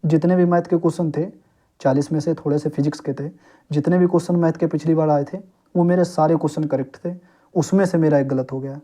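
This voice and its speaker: Mellow-voiced young man explaining. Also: a little high, fairly even intonation, fast. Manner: instructive, repeatedly checks understanding with short tags. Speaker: exam coaching YouTuber. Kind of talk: informal explainer addressed to viewers.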